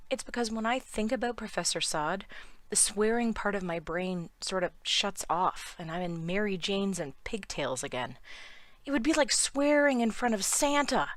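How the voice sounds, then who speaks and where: A woman with a hoarse, worn voice, giving sardonic, irreverent scripted commentary for her video channel. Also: medium pitch, animated, moderate pace, dry recording. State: hoarse from lost voice. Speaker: YouTube commentator.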